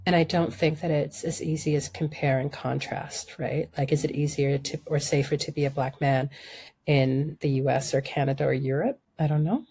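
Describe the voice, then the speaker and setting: A woman from Sudan whose first language is Arabic, speaking as a podcast guest in a thoughtful, measured way. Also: sits low, steady tone, warm tone, slightly rough. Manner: thoughtful, measured, reframes questions. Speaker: guest, environmental journalist. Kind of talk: conversational interview.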